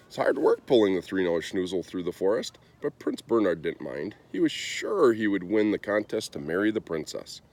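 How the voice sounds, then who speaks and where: A man reading a children's story aloud in an expressive storytelling style. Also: low-pitched, normal speed, few pauses. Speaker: storybook reader.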